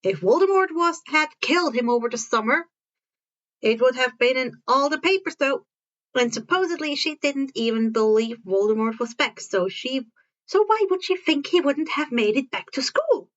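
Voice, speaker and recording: High-pitched woman narrating a story. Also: animated, normal speed, dull audio. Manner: stumbles and rereads phrases. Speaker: fan fiction narrator. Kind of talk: amateur scripted story narration.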